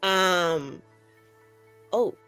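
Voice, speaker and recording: A woman playing a tabletop roleplaying game, speaking in a halting, rambling, improvised in-character style with casual asides. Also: on the low side, a bit bright, clear, resonant tone, soft background music.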